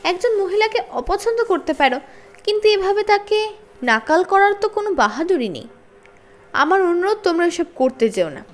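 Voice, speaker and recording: A woman's voice: very high-pitched, big pitch swings, normal speed, pitched above the performer's own voice. A woman voicing an earnest, principled schoolgirl in a children's storybook reading, sincere and pleading.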